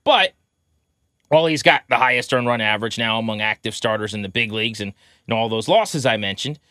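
Sports podcast host guy, punchy bright voice, medium pitch, talks emphatic and chatty, dry studio-like sound. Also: no room sound. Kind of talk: conversational sports commentary.

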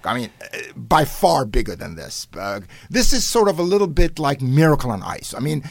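A man's voice: mid-range voice, big pitch swings, moderate pace, creaky, no echo. An older man, a professor whose first language is Hungarian, telling long stories in an interview, rambling with many fillers and restarts, flaring up with animation now and then. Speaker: professor and guest. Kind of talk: conversational interview storytelling.

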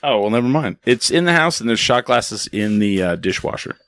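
Joking man with a lively voice. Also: on the low side, brisk, gravelly, no echo. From Texas. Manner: joking, animated, slips into silly voices. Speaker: podcast host. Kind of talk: casual chat. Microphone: studio microphone.

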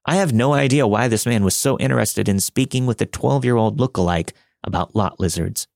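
A man's voice: lowish voice, fairly quick, gravelly, a little mellow, no room sound, clean studio sound. A man hosting a podcast, reading scripted material as a storyteller and narrator. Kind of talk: scripted first-person story narration and host-read promo.

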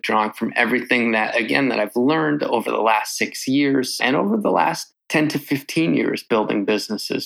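A man's voice: medium pitch, creaky, fairly bright tone, low-quality recording. A man from New York talking in a loose, casual way, with lots of fillers, as he opens his own podcast and then answers questions as a guest. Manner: loose, chatty, many fillers. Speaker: podcast host, here a guest. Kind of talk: casual conversational intro and interview.

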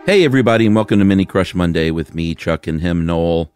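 A man's voice: low-pitched, expressive, fast, darkish tone, smooth, soft background music. A tired man co-hosting a podcast in casual chat, laid-back and asking his partner questions. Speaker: podcast co-host.

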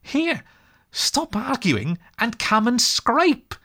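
Man doing a storybook water rat, male, eager, shrill and squeaky, bouncing all over the place, impatient. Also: very slow, full character voice. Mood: exasperated. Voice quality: high, shrill when excited. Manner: impatient, triumphant exclamations.

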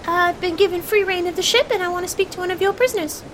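Tiny, well-mannered adventurer for a tabletop game, played by a woman; squeaky-high, earnest, haltingly bluffing, faint rumble behind. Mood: hesitant, innocent. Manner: halting, courteous, stalling. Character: small polite earnest adventurer, nervously bluffing past guards. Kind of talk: in-character tabletop role-play. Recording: steady low background rumble and hiss.

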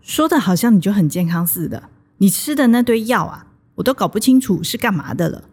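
A woman's voice: mid-range voice, animated, brisk, darkish tone, slightly rough. An actress with a Taiwanese Mandarin accent playing a doting, traditional older mother in a radio drama, coaxing and chatty.

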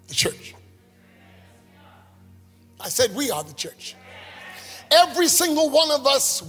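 High-voiced preacher in echoing hall. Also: male voice, slightly rough. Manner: rhythmic call-and-response preaching, rising to shouts. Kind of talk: sermon. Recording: long hall reverb, faint music.

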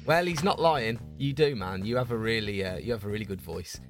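A man's voice: on the low side, a little fast, soft background music. A man co-hosting a podcast, chatting casually and teasing his guest. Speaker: podcast co-host.

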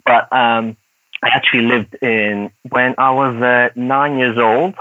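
A man's voice: mid-range voice, level tone, measured pace, bright, forceful tone, no echo, poor audio. A man in his thirties with a light, mixed Irish accent, whose first language was Cantonese. He is a podcast guest who rambles through his answers with many fillers and laughs often. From Dublin, Ireland. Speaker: guest, actor and martial artist. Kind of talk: casual podcast interview.

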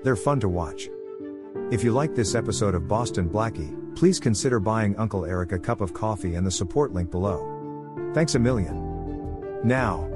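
Low-voiced man introducing over music. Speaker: podcast host. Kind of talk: scripted introduction narration.

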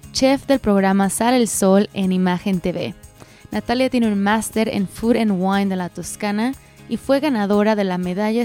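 A woman's voice: mid-range voice, warm tone. A woman with a Mexican accent hosting a podcast, chatting in an upbeat, casual way. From central Mexico. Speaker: podcast host. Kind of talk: casual interview chat.

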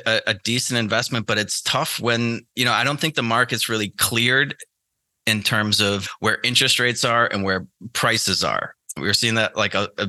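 A low-pitched American man gives casual interview answers, thinking aloud as he goes. His voice is very bright and forceful, and the sound is dry and close. He speaks haltingly, as a guest would in relaxed conversation. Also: no room sound. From United States. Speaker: podcast guest, trader.

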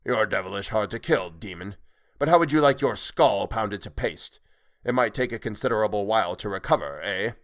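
A man's voice: on the low side, lively intonation, fairly quick. A man voicing a fanatical, furious clergyman villain in a storybook reading, with pompous, archaic, ranting speech. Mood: menacing. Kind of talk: audiobook character acting.

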